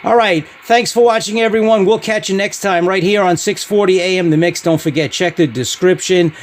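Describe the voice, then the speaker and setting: A man hosting a podcast-style product review, speaking in short, halting phrases. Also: high-pitched. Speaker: podcast host reviewing CB radios.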